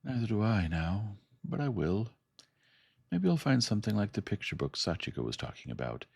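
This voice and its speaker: A man's voice: fairly low pitch, big pitch swings, moderate pace, vocal fry, darkish tone, slightly rough, no room sound, studio quality, pitched above the performer's own voice, heavily acted. A man voicing a determined woman archaeologist, with a firmer and slightly lifted tone compared to his narration.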